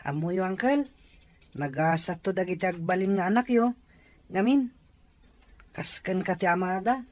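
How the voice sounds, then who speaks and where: A man's voice: sits high, normal speed, no echo, natural voice. Man acting earnest, respectful school-age nephew. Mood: grateful. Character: grateful school-age nephew, wary of his absent father. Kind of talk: Ilocano radio drama acting.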